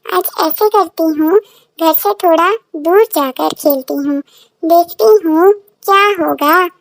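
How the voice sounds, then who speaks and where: A stubborn small village girl from a children's cartoon, acted by a woman. The voice is extremely high and childlike, in a dry recording with no room sound. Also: slow, creaky, dry recording, exaggerated voice, low-quality recording. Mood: sulky, defiant. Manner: childlike, simple, pleading complaints. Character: stubborn little village girl, frightened and tearful when lost. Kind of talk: children's cartoon story acting.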